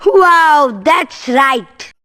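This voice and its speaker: A woman on a kids' show asks quiz questions and cheers the answers, careful and encouraging. She speaks high and slowly, spacing her words out. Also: animated, sharp tone. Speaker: children's show co-presenter. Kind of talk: quizzing kids, teacher-like.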